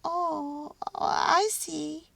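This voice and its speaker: Woman voicing a squeaky, high-pitched little storybook mouse. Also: very slow, vocal fry, no room sound, exaggerated voice. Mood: tender. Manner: exclamatory, expressive, childlike. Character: tiny excitable squeaky male mouse, tender-hearted.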